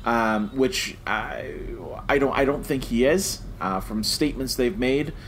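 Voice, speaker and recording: A man's voice: mid-range voice, a little slow, slight background noise, low-quality recording. A man chatting casually as a podcast co-host, enthusiastic and jokey. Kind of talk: casual podcast review chat.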